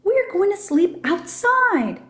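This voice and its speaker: A woman's voice: extremely high pitch, very animated, slow pace, a bit bright, pitched above the performer's own voice, exaggerated voice. A woman voicing an eager, confident little girl in a children's storybook, full of exclamations. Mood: excited, playful. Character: excitable, confident little girl on a camping adventure.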